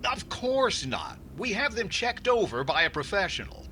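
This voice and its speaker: A man acting a stingy, flustered middle-aged radio comedian from 1940s comedy. His fairly high voice swings wildly in pitch, hesitant and exasperated, over clear background noise. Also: wildly swooping pitch, moderate pace, sharp tone, full character voice. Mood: defensive. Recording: noisy radio play recording.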